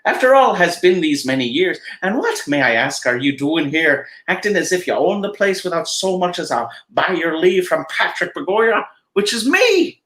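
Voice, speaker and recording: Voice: mid-range voice, big pitch swings, normal speed, few pauses, fairly bright tone, voice pushed up. A podcaster reading aloud and voicing a feisty, proud little leprechaun who scolds intruders, in a roomy-sounding space. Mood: indignant. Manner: indignant, scolding. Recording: roomy echo.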